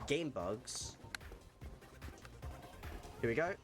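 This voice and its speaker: A man's voice: mid-range voice, animated, drawn-out words, over music. A man giving an upbeat, jokey introduction to a gameplay video. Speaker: gaming channel host. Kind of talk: casual gameplay voice-over.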